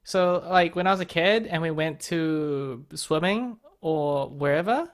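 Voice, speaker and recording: A man's voice: fairly high pitch, a little slow. A young man co-hosting a podcast, chatting in a playful, joking way. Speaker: podcast co-host. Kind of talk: casual chat.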